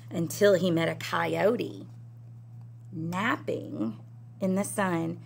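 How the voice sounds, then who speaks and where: Animated woman reading a children's story. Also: on the low side, gravelly, a little hiss or hum. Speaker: elementary teacher reading aloud. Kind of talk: expressive children's story read-aloud. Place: indoor school classroom.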